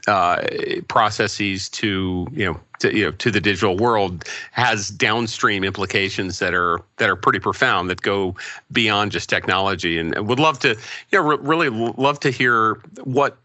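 A man's voice: lowish voice, normal speed, bright, forceful tone, no echo. A man hosting a business podcast, asking long questions with many fillers and restarts. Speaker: podcast host. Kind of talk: podcast interview.

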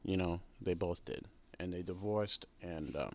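A man's voice: low-pitched, measured pace, darkish tone, no room sound. Laid-back young American man, dry close mic. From United States. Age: young adult. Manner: laid-back, heavy use of "like" and "you know". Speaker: podcast guest, comedian. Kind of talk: casual conversation.

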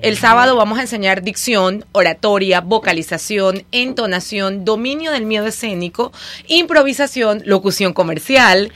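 A woman with a Venezuelan accent, a diction and voice teacher, speaking energetically and clearly as a guest on a radio show. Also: medium pitch, moderate pace. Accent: Venezuelan Spanish. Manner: energetic, articulate, promotional. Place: radio studio.

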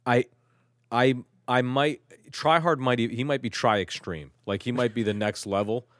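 A man's voice: medium pitch, steady tone, moderate pace, fairly bright tone, no room sound, studio quality. A man from Turkey talking in loose casual chat, rambling and self-mocking, with lots of swearing and restarts.

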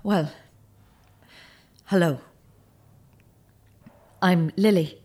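A woman's voice: fairly low pitch, extremely slow, a little mellow, breathy edge, heavily acted. Bitter, grieving middle-aged mother. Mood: awkward, strained. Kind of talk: naturalistic radio drama acting.

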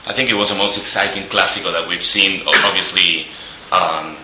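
A man's voice: low-pitched, fairly even intonation, brisk, sharp tone, roomy sound, slight background noise, low-quality recording. A man co-hosting a casual football podcast from his living room, analysing matches in a hesitant way that stumbles at the start of his points. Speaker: podcast co-host.

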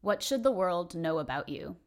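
Low-voiced woman hosting over music. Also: animated, moderate pace, gravelly. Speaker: podcast host and interviewer. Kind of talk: scripted narration.

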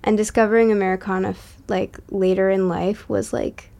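A woman's voice: medium pitch, little pitch movement, a little fast, gravelly, warm tone. A young woman from Massachusetts, a musician guest on a podcast, talking casually with lots of likes and ums. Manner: chatty, laughs often, many likes and ums.

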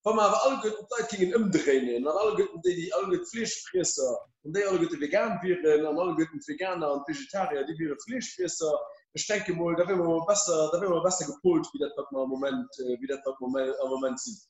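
A man hosting a casual podcast discussion, halting and full of fillers and restarts. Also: on the high side. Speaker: podcast host. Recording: echoey room.

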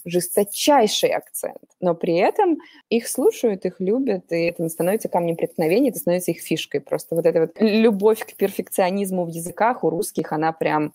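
A woman's voice: fairly low pitch, dark tone, no room sound. A young woman from Ukraine, a podcast guest in casual chat who talks animatedly, laughs often and drops slang.

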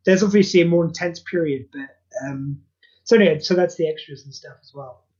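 A man's voice: a little high, a little fast, darkish tone, husky. A man being interviewed as a writer, chatting casually in a rambling way over an internet call. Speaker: interview guest, audio drama writer. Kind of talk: casual chat. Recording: slightly dull internet call.

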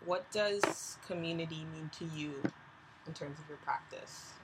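A woman's voice: sits low, a little slow. A woman hosting a podcast in a studio, upbeat and steering the chat with questions. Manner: upbeat, gently steering the conversation. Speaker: podcast host. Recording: noisy studio recording.

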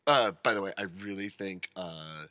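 Joking, bright-voiced male podcast host. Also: sits low, moderate pace, dry recording. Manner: joking, rambling, self-mocking. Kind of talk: casual podcast banter. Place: rural home studio.

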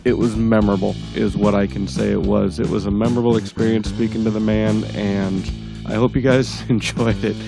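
A man with a gravelly, congested voice hosting a podcast in a casual, comedic, self-deprecating way. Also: on the low side, fairly even intonation, brisk, few pauses, over music. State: congested, under the weather. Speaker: podcast host.

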